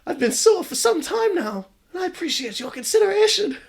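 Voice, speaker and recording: A man voicing a young ghost girl, gentle and forgiving, in a high, bubbly voice. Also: sing-song, fairly quick, vocal fry, full character voice.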